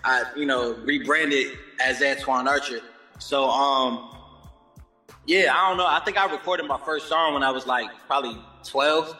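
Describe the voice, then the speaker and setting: A man's voice: medium pitch, steady tone, moderate pace, pushed and bright. An American man chatting casually as an interview guest, upbeat and slangy, often adding "you know what I'm saying.". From born in Germany, moved around the United States. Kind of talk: casual chat. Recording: echoey roomy sound with long reverb, music behind.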